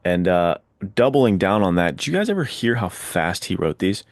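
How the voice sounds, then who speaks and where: A man with a fairly low voice, recorded dry without room sound. He hosts a casual book chat and talks with informal, enthusiastic slang. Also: big pitch swings, normal speed, no room sound. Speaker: podcast host, writer.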